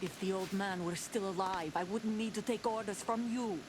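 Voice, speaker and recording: A woman's voice: mid-range voice, moderate pace, noisy background, natural voice. An actress playing a hostile young female soldier who answers bluntly and threatens, in a video game cutscene. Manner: hostile, confrontational. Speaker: mercenary commander.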